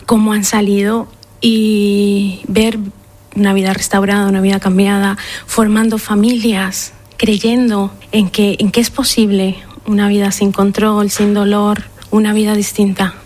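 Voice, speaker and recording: A woman's voice: mid-range voice, flat delivery, darkish tone. A Colombian woman in her thirties, director of a shelter, answering interview questions earnestly with frequent restarts. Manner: earnest, measured, with repeated restarts.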